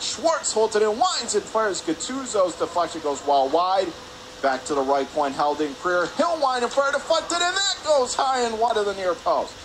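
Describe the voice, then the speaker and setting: A man with a fairly high voice calls hockey play-by-play in a radio style. His phrases are clipped and urgent as he follows the puck. Clear ice rink noise runs behind him. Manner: clipped, urgent phrases while calling action. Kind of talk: live radio sports play-by-play commentary. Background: ice rink ambience.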